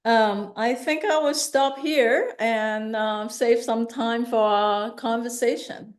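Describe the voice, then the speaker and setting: Thoughtful, halting woman artist in her fifties. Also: fairly high pitch, fairly even intonation. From Hong Kong. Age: 50s. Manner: halting, thinking aloud with self-corrections. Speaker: visual artist giving an artist talk. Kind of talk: conversational artist talk, answering questions.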